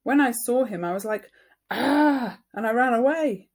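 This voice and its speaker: A whiny little storybook boy of about seven, done by a woman. He is excitable and childish, with a slightly husky edge to the voice. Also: on the high side, measured pace, voice pushed up. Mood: whiny, defensive. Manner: whining, childish.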